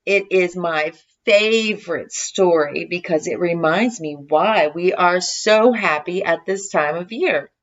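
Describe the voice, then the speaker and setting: A gentle, kindly old Father Christmas figure from a storybook, acted out by a woman. The delivery is reassuring and unhurried in manner, and the recording sounds slightly dull. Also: fairly low pitch. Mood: warm, cheerful. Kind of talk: children's storybook character voice.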